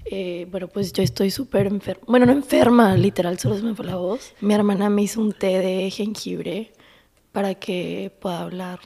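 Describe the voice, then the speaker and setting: A woman in her twenties with a Mexican accent chats casually as a podcast co-host. She is hoarse and losing her voice, so it comes out raspy and breathy, cracking into a whisper. The pitch sits in the middle. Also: moderate pace, dark tone. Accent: Mexican Spanish. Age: 20s. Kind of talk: casual chat, mixing Spanish and English.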